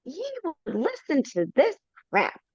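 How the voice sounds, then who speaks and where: A woman's voice: high voice, wildly swooping pitch, a little slow, no room sound, full character voice. A wisecracking talking hat mutters insults , as a woman puts it on, its voice bouncing up and down. The audio is dry and a little muffled, from a video call. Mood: snarky. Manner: snarky, wisecracking. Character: sarcastic talking hat, a mouthy bird.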